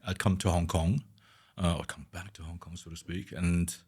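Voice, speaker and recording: A Scottish-born man being interviewed as a guest, talking in a hesitant way, repeating words and trailing off quietly. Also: sits low, little pitch movement, normal speed, husky. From Scotland. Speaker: guest architect. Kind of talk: conversational interview.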